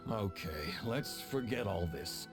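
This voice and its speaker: An actor playing a stern, officious chief of tax officers in a video game, commanding and haughty. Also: male voice, on the low side. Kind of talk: English video game dub acting.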